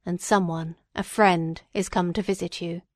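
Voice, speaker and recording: Casting: benevolent magistrate for a storybook, read by a woman, formal, measured, mid-pitched, closely recorded. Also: steady tone, no room sound, studio quality, lightly acted. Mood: gentle. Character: benevolent male magistrate, gentle but sometimes severe.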